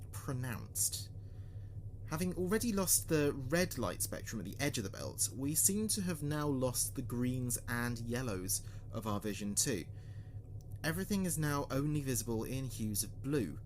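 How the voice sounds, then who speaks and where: A man playing an earnest, uneasy spaceship communications officer, recording a halting, deliberate mission log in a sci-fi audio drama. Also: mid-range voice, lightly acted. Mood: grave report. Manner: halting, deliberate, pausing mid-sentence. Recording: clear background noise and ambience.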